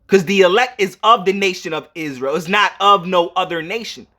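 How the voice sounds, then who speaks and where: High-pitched, forceful man preaching. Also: a little fast. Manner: emphatic and repetitive, punctuated with check-in questions. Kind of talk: informal sermon with scripture reading and commentary.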